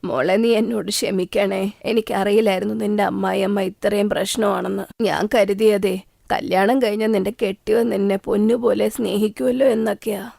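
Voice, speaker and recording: A woman voicing a middle-aged mother who speaks softly and apologetically. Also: medium pitch, fairly even intonation, normal speed, vocal fry, no echo, clean studio sound. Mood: sorrowful. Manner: soft, apologetic.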